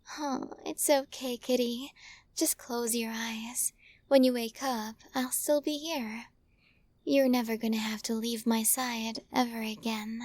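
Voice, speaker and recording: A possessive yandere witch, acted by a woman, murmurs in a lightly high voice, very close and dry. She is doting and smug, coaxing and teasing in ASMR style. Her soft speech drifts into breathy whispers with a sweet menace. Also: fairly even intonation, unhurried, no room sound, natural voice. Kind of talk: ASMR roleplay, intimate acting to listener. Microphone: close studio mic, dry.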